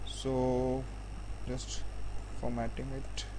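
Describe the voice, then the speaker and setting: A man giving a programming tutorial, explaining step by step in a halting, repetitive way. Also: medium pitch, monotone. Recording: faint background noise.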